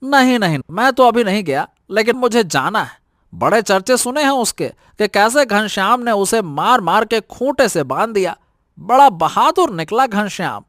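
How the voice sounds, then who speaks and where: Casting: man as admiring storybook everyman, high voice, dry recording. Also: animated, normal speed, a bit bright, no echo. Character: admiring, eager common man of village or palace guard. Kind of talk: animated Hindi moral-story dramatization.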